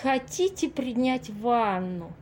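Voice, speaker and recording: A woman's voice: a little high, expressive, takes it slowly, darkish tone, slight background noise. An actress in an amateur audio play as an exasperated but kind-hearted single woman, earnest and fretful. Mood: hesitant, solicitous.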